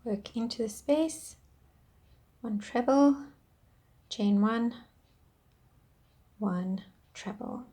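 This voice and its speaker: A woman talks you through a crochet pattern at an unhurried pace, counting each stitch aloud. Her voice sits a touch high and sounds a little worn, with a throat-clearing huskiness. She stays patient and gentle throughout. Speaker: crochet instructor. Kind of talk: step-by-step craft tutorial.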